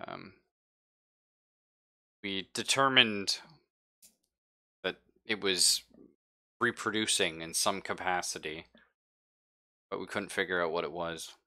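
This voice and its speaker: A man playing a ship's android who gives measured, factual reports in a tabletop roleplaying game. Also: low voice, fairly even intonation, bright, forceful tone, exaggerated voice.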